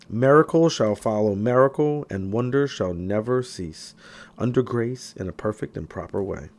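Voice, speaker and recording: Male audiobook narrator for self-help material, mid-range voice, very animated pitch, emphatic, occasional stumbles. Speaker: audiobook reader.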